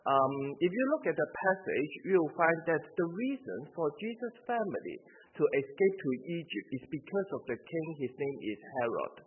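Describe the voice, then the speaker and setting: A man in his forties with a Hong Kong accent, preaching a sermon as visiting ministry staff, in an earnest, measured way with many "uh" fillers. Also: fairly high pitch, sing-song. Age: 40s. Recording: tinny phone-like recording with faint noise.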